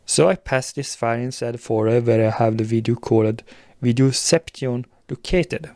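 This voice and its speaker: Gravelly man narrating a tutorial. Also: mid-range voice, moderate pace, dark tone, no room sound, studio quality. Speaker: tutorial presenter. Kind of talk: step-by-step software tutorial narration.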